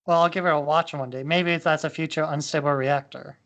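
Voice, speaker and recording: A man's voice: fairly high pitch, fairly even intonation, brisk, fairly bright tone, lo-fi sound. A man co-hosting a podcast, chatting casually about movies. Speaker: podcast co-host.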